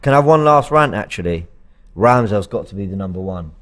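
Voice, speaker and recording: A man's voice: lowish voice, expressive, quick pace, fairly bright tone. A man co-hosting a live football fan show, talking casually and rambling with lots of fillers and restarts. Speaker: fan channel co-host.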